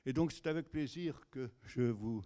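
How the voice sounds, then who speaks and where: A man moderating a conference, introducing the next speaker in hesitant, halting speech. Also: medium pitch, mellow. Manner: hesitant, many fillers and restarts. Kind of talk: introducing a lecture.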